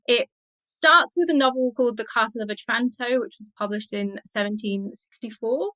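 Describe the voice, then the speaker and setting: A woman with a fairly high, very bright voice talks as a scholarly interview guest. She explains in a chatty, colloquial style, piling up loose lists. The recording is dry. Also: normal speed, dry recording, low-quality recording. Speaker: academic guest, literature researcher. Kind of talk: informal explanatory interview talk.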